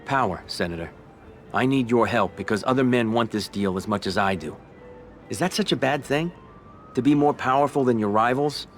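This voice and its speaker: An actor playing a smooth, ambitious Italian-American mafia boss in a video game, speaking in a measured, persuasive American voice. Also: male voice, medium pitch, lively intonation, soft background music, close to an everyday voice. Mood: firm, persuasive. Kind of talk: video game cutscene acting.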